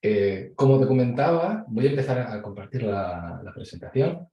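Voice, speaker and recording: A man with a Spain Spanish accent giving an online conference presentation, measured and explanatory, with frequent hesitations over a video call. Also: mid-range voice, lively intonation, muffled recording. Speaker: conference speaker. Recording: echoey room.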